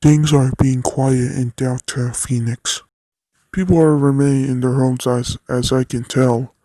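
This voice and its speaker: A man's voice: mid-range voice, steady tone, a little slow, mellow, no room sound. A man reading a scripted news report as a field correspondent, in a stilted way.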